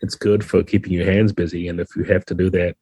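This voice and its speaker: A man with a husky voice, speaking as a game designer guest and explaining things in a podcast interview. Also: low voice, level tone, fast, warm tone, a little hiss or hum.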